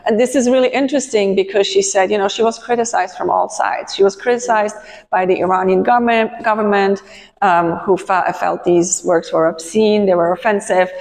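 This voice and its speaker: A woman's voice: medium pitch, fairly even intonation, brisk, a little mellow. A woman curator leading a gallery tour for docents, talking conversationally with frequent ums and restarts. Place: large museum gallery. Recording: reverberant gallery hall.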